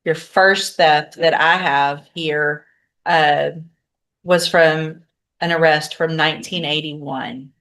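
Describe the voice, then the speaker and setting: Lower-pitched American woman judge, firm. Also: steady tone, takes it slowly, a bit bright. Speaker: judge presiding in court. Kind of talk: courtroom sentencing and docket proceedings. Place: courtroom.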